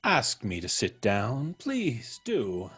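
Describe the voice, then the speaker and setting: A slow, suave, menacing intruder. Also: male voice, mid-range voice, huge pitch swings, fairly bright tone, slightly rough, full character voice. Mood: mock pleading, teasing. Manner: polite, deliberate, teasing, drawn-out delivery. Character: suave, mocking male intruder, mysterious and menacing. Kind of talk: old time radio mystery acting.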